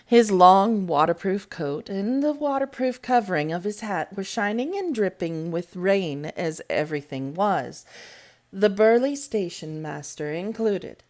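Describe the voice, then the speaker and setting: A woman reading a classic novel aloud as storybook narration, in a deliberate, measured manner. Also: mid-range voice, animated, a little mellow. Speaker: storybook reader. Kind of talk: read-aloud audiobook narration.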